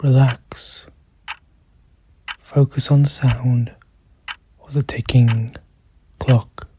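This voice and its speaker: A meditation guide speaking softly and soothingly, in measured phrases with long pauses and a breath that shows at times. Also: mid-range voice, fairly even intonation, a little hiss or hum. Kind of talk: slow guided meditation narration.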